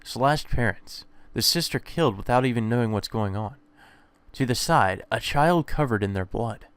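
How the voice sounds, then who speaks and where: A man's voice: medium pitch, lively intonation, moderate pace, a bit bright, smooth, no echo. A man reading a visual novel aloud as a let's player, narrating the text and sometimes correcting himself as he goes. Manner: stumbles over lines and corrects himself. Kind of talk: read-aloud narration and dialogue. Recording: background music under the voice. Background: game music.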